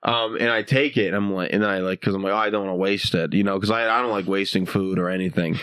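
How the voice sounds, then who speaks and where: A young man from the United States tells a loose, rambling story as a guest comic, his speech full of "like" and "dude". His voice sits fairly low. The talk is casual and unscripted. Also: steady tone, quick pace, a bit bright, clean tone, no echo. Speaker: guest comedian. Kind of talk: casual podcast chat.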